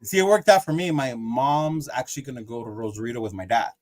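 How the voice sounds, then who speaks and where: A man's voice: medium pitch, quick pace. A man hosting a casual podcast chat, talking in a laid-back, slangy way and laughing often. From Mexican heritage. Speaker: podcast host.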